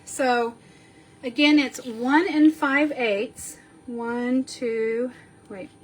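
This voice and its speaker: A woman sniffling a little as she slowly talks through a card-making tutorial, counting measurements aloud while she works. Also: a little high, fairly even intonation. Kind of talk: step-by-step crafting tutorial.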